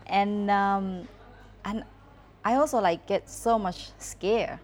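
A woman with a Thai accent, not a native English speaker, talking candidly as a guest photographer and often restarting her sentences. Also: mid-range voice. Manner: candid, halting, with many restarts and fillers. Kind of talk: casual podcast chat.

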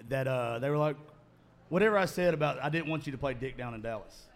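A man's voice: medium pitch, quick pace. A man hosting a podcast recorded live at a music venue, loose, joking and profane. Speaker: podcast host. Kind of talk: casual live podcast chat.

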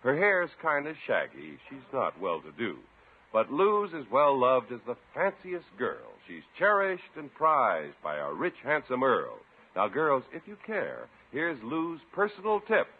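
A man's voice: medium pitch, wildly swooping pitch, a little slow, breathy edge, phone-quality sound. An American man working as a 1950s radio announcer, delivering emphatic sales talk. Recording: band-limited old radio recording.

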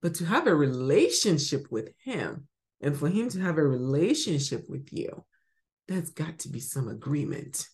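A woman from Ghana giving a spoken devotional teaching as podcast host, emphatic and swinging from bright exclamations to soft murmurs. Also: sits low, very animated, moderate pace.

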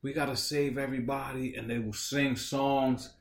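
Male podcast co-host, rambling casual talker, medium pitch, roomy recording. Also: flat delivery, moderate pace, noisy background. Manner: rambling, lots of "like" fillers. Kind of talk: casual chat.

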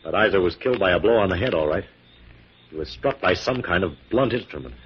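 An actor playing a confident, skeptical, protective detective in 1940s radio style, brisk and authoritative. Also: male voice, low-pitched, expressive. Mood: firm, reassuring. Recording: muffled, noisy 1940s radio recording.

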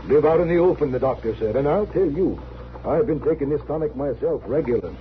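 An actor playing a stubborn, aggrieved travelling tonic peddler in a 1950s radio western, with a folksy, insistent, rustic way of talking. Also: male voice, a little high, expressive, fairly quick, lo-fi sound, lightly acted. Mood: earnest pitching. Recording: band-limited old radio recording, faint noise.

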